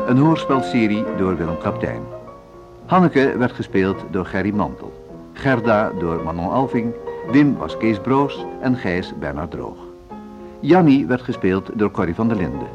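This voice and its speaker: Male announcer, lowish voice, animated intonation, formal measured credits reading, music behind, dull lo-fi radio recording.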